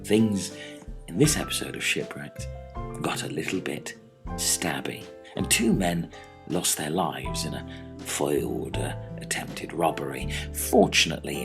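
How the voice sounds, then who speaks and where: A man playing an earnest, hesitant castaway in a comic radio play, speaking deliberately with mock seriousness. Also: extremely low pitch, creaky, full character voice.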